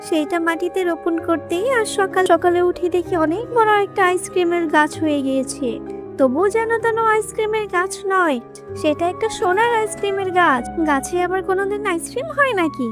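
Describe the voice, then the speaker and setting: Woman playing a worried cartoon mother, very high voice, music behind. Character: poor village mother, anxious but grateful.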